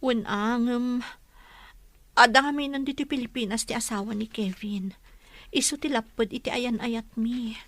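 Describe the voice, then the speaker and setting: A woman acts out a troubled wife and mother in an audio drama. Her voice is high and bright, recorded very dry. She sounds weary and anxious, burdened by hardship. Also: steady tone, moderate pace, no room sound. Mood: distressed, confiding. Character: weary, anxious poor wife and mother, secretly in love elsewhere. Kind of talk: Ilocano audio drama dialogue.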